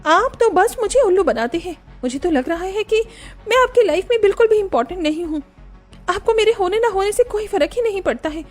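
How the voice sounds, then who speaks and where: Woman playing a high-voiced, indignant young wife. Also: animated, a little fast, faint music behind. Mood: angry, hurt. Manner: whiny, indignant, emphatic. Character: frustrated, complaining young wife and daughter-in-law. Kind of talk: Hindi family cartoon voice acting.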